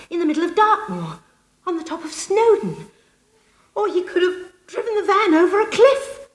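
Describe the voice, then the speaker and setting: High-pitched, fretful English sitcom housewife. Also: female voice, slightly rough. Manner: theatrical, flustered, emotive. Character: fretful, doting middle-class English housewife, flustered and wheedling. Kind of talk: TV sitcom acting. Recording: faintly noisy old TV sitcom copy.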